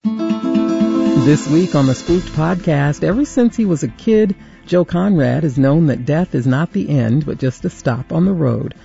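A man's voice: on the high side, unhurried, vocal fry, dark tone, dull audio, soft background music. A man with an American accent reading scripted promos and weather forecasts as a radio station announcer.

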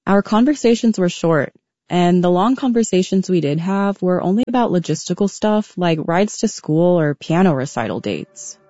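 A woman in her twenties narrating her own story in a reflective, measured, scripted way. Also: on the low side, expressive, warm tone, no room sound. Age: 20s. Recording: dull, muffled audio.

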